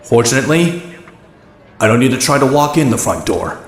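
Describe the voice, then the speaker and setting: An actor playing a wry, defiant young outlaw vigilante with a sardonic, self-deprecating delivery. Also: male voice, medium pitch, sing-song, a little fast, a little hiss or hum, lightly acted. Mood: brooding, wry. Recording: echoey, long reverb.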